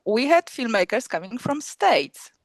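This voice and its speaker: Polish-accented woman, halting speech. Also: on the high side, big pitch swings, no echo. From Poland. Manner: halting, many restarts and laughs. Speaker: film festival co-founder. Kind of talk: casual interview chat.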